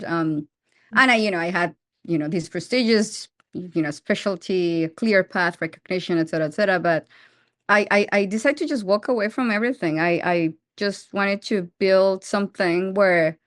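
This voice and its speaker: A woman's voice: mid-range voice, no room sound, clean studio sound. Colombian woman founder in her forties. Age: 40s. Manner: animated, frequent restarts and fillers. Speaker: startup founder. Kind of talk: interview answers.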